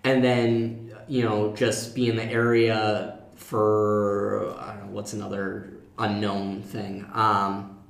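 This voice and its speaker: A man's voice: lowish voice, completely flat delivery, slow pace, gravelly. A man co-hosting a casual panel discussion, explaining his points through examples. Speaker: podcast co-host. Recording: echoey room.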